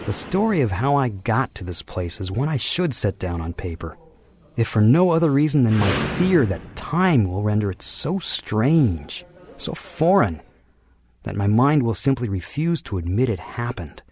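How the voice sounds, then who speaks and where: A man's voice: medium pitch, big pitch swings, normal speed, darkish tone, close to an everyday voice. Man playing a brooding, sardonic carnival boss. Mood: reflective, weary. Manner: world-weary, sardonic, irritable. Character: bitter, brooding carnival manager haunted by lost love. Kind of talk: audio drama narration and dialogue. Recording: music behind the voice.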